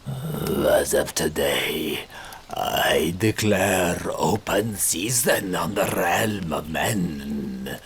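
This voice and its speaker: A man voicing a vengeful male king of monstrous woodland beasts in an audiobook, speaking in a slow, menacing whisper. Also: sits low, lively intonation, creaky, slightly rough, full character voice. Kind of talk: audiobook character voice.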